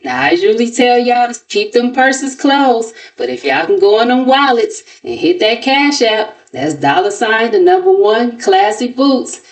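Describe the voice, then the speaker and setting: A woman's voice: on the high side, lively intonation, measured pace, hardly any pauses. An American woman hosting a commentary channel, giving a casual gossip recap in a sassy, mocking, colloquial way. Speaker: commentary channel host and narrator.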